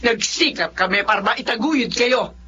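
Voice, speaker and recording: A woman's voice: on the high side, expressive, moderate pace, bright, forceful tone, slight background noise. An actress with a Filipino accent playing a proud, long-suffering middle-aged mother in an emotional radio drama, reproachful and emphatic. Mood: indignant, hurt. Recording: muffled radio drama, faint music.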